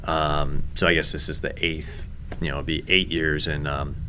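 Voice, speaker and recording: A comedy host telling stories in a casual chat, rambling and halting with many ums and restarts. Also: male voice, extremely low pitch, level tone, pushed and bright, slight background noise.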